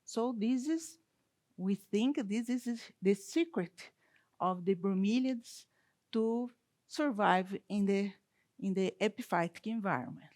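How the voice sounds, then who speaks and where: A woman with a Brazilian Portuguese accent, a university plant scientist giving a conference talk in careful, halting non-native English with restarts. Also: mid-range voice, lively intonation, a little mellow, no echo.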